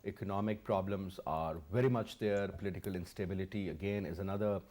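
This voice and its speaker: A man's voice: low voice, fairly even intonation, fast, darkish tone. A man, hosting a TV interview and pressing his guest with emphatic questions. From Pakistan. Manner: emphatic, questioning, repeats words. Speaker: TV host.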